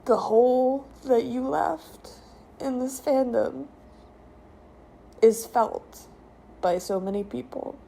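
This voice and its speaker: Slow, creaky low-voiced woman giving tribute. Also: mellow, slight background noise. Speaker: podcast co-host giving a guest tribute. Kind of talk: solemn personal spoken tribute.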